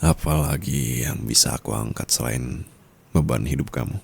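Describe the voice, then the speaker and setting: A man playing a dominant, doting rich husband in an intimate ASMR roleplay, teasing in a breathy murmur with soft chuckles. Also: extremely low pitch, normal speed, exaggerated voice. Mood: teasing, amused.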